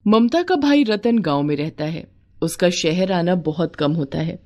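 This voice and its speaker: A woman's voice: fairly low pitch, expressive, measured pace, darkish tone. A woman narrating a scripted moral story as a storyteller.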